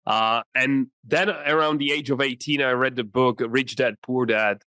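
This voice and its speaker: A young man in his twenties speaks English with a Czech accent, Czech being his first language. He answers interview questions earnestly, sometimes restarting his sentences. His voice sits at an average pitch, and the recording is dry and close. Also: steady tone, a little slow, sharp tone, no echo. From Czech Republic. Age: 20s. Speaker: podcast guest, entrepreneur.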